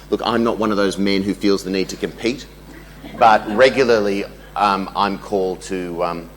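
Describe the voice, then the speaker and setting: A man's voice: on the low side, a little hiss or hum, poor audio. A man acting as master of ceremonies, introducing the keynote speaker with wry jokes. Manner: joking, wry.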